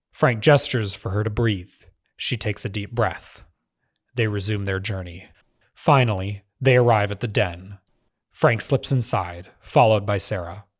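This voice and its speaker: A man narrating screenplay stage directions in measured, clipped phrases. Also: lowish voice.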